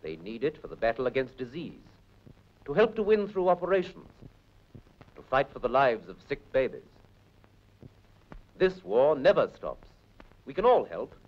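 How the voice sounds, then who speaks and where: British guy doing earnest 1940s newsreel style narration, big swooping pitch, dull old film sound. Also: huge pitch swings, normal speed, long pauses. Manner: earnest, formal appeal.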